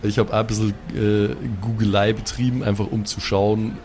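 A man's voice: on the low side, little pitch movement, moderate pace, heavy background noise. A man playing in a tabletop game, chatting casually and rambling, with lots of hesitant restarts. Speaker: player.

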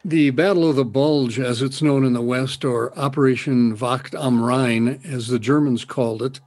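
A man's voice: mid-range voice, fairly even intonation, normal speed, darkish tone. A man, a military historian, explaining events in a plain, explanatory interview manner.

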